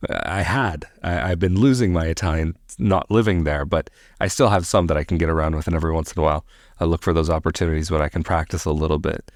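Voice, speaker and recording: A man hosting a reaction video, speaking in a thoughtful, measured way with frequent hedges. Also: low-pitched, a little mellow, no room sound, studio quality. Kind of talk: casual commentary. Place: living in Portugal.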